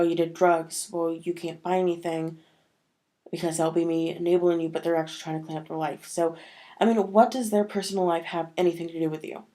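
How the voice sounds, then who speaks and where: A woman's voice: fairly low pitch, warm tone. A woman talking casually to camera in a vlog, sniffly from a cold and rambling with many fillers. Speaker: vlogger.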